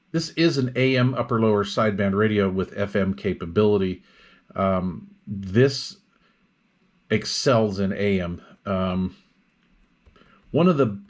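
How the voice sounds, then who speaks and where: A man reviewing radio equipment in a casual, hands-on demonstration, explaining things step by step without hurry. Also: lowish voice.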